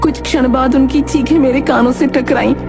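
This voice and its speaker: A woman playing a distraught widowed mother in an animated horror story, anguished and earnest. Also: sits high, brisk, gravelly. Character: distraught widowed mother of a village landlord family. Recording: music behind the voice.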